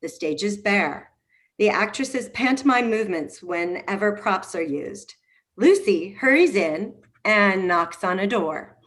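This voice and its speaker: Mid-pitched woman reading stage directions. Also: animated, unhurried, fairly bright tone. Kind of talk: scripted narration. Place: video call.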